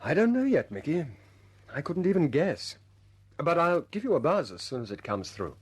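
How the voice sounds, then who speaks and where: An actor playing an earnest, good-humoured RAF squadron leader in a vintage radio drama. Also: male voice, on the high side, very animated, moderate pace. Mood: confiding, wry. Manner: hesitant stammers when pressing a point, otherwise commanding.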